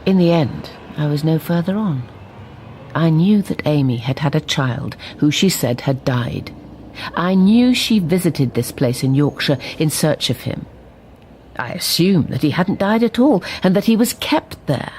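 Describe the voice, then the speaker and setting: A woman's voice: deep voice, animated, measured pace, darkish tone, slight background noise. An actress playing a reflective woman who looks back ruefully in a radio drama. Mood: wistful. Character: reflective woman looking back ruefully on her troubled marriage. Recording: noticeable background ambience.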